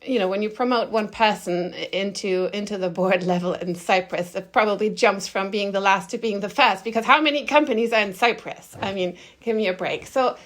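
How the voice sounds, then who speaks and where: A woman's voice: medium pitch, fairly quick, hardly any pauses. A middle-aged woman from Germany, slightly nasal from a cold, answering interview questions in a candid, informal and emphatic way. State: has a cold. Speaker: interview guest, agency founder.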